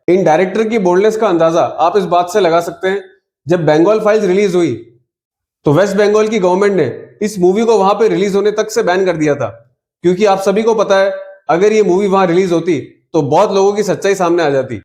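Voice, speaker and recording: A man's voice: high-pitched, a little mellow. A man giving opinionated film commentary to viewers in an emphatic way, mixing in English words. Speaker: video commentator. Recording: roomy, echoing sound.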